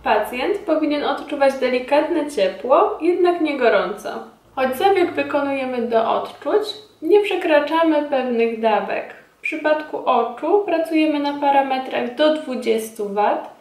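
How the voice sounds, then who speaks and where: A woman in her thirties giving formal, measured scripted instructions as a trainer in a small indoor room. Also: sits high, a little mellow. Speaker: instructor presenting a beauty device. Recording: roomy echo, distant mic.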